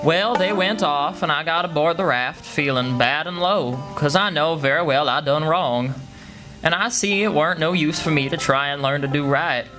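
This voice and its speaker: An actor playing a plain-spoken country lad narrating his own troubled story, folksy and halting. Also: fairly high pitch, lightly acted, low-quality recording. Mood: troubled, reflective. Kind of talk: first-person radio play narration. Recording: live stage, guitar music behind.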